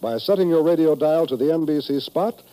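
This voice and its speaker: A man's voice: fairly high pitch, lively intonation, fairly quick, mellow, low-quality recording. A man with an American accent reading a scripted network promo as a polished 1950s radio announcer.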